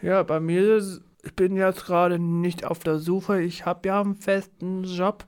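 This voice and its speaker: A man co-hosts a podcast in a notably high voice with a smooth, clear tone. His delivery is very slow, with simple, deliberate phrasing as he introduces and asks questions. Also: level tone. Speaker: podcast co-host. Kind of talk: podcast hosting, prepared questions.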